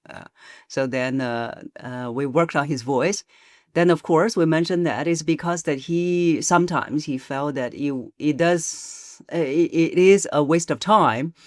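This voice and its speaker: A woman voice coach, a podcast guest, explaining in a measured way with frequent pauses and hesitations. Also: deep voice, dry recording, clean studio sound. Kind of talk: podcast interview.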